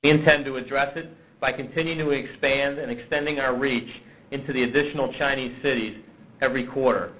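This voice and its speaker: A male company executive giving a prepared business presentation on a conference call, in a measured, businesslike way. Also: medium pitch, steady tone, fairly bright tone, lo-fi sound, slight background noise.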